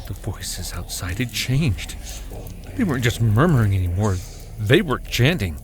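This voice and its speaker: Man acting a slow, dramatic husband narrator. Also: fairly low pitch, big pitch swings, heavy background noise. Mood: tense, frightened. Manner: slow, dramatic storytelling with heavy pauses. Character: weary husband and father recounting a terrifying cabin getaway. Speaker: narrator and actor. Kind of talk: dramatic first-person horror audio drama narration.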